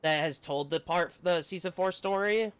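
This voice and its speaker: A man chatting casually on a game livestream, rambling and halting as he talks. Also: high-pitched, a bit bright, clear, resonant tone, no echo. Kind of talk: casual chat while streaming a game. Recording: game music behind the voice. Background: video game music.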